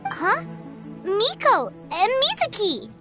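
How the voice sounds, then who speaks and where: A woman voicing a tiny, childlike, naive fairy companion in a video game, squeaky and excitable. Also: slow, heavily acted. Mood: bright, relieved. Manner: excitable, expressive. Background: background music.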